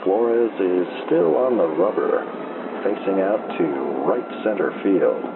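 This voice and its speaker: Casting: male actor as deadpan small-town baseball radio caller, low and unhurried, lively melodic swings, heard through crackly narrow-band AM. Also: gravelly, full character voice. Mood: deadpan calm. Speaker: baseball radio play-by-play announcer. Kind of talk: slow old-time baseball radio play-by-play. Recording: noisy, thin telephone-like AM radio sound.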